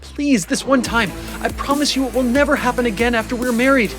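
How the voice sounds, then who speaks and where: A woman's voice: on the high side, brisk, lightly acted. A woman voicing a manipulative, blaming, self-serving fiancé in an audio drama. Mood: pleading. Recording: music behind the voice.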